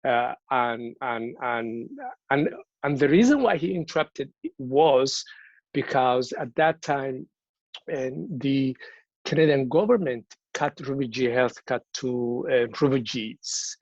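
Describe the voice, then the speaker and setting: A man from Somalia gives an earnest talk at a virtual event, telling his story with many restarts and repeated words. His voice sits at an ordinary middle pitch. The audio comes over a video call, dry and a little muffled. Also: slow, dry recording. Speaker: invited speaker. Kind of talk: personal speech at a virtual event.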